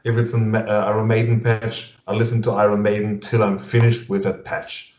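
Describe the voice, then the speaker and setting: A man with a German accent interviewing a guest over a video call in English, halting and full of fillers and restarts, laughing often. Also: fairly low pitch, monotone, a little mellow. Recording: muffled lo-fi sound in an echoey room.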